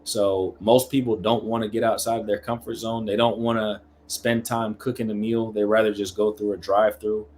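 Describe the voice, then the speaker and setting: A man's voice: on the low side, little pitch movement, moderate pace, hardly any pauses, darkish tone, a little hiss or hum. A man in his late thirties speaking as a podcast guest in casual conversation, explaining his views in a reflective way. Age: late 30s. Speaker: podcast guest, hybrid athlete.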